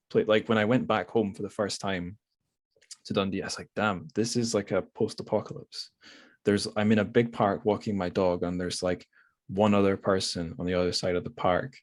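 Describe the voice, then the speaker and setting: Low-voiced man, casual podcast host. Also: a little mellow. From Scotland. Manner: hesitant, rambling, thinking aloud. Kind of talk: casual literary interview.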